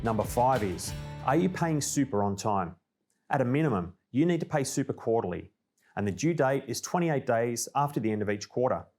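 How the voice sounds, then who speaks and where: A man with an Australian accent presenting a scripted informational update in a clear, orderly, explanatory way. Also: medium pitch, expressive, brisk, a little mellow, soft background music. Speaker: presenter of a company newsreel.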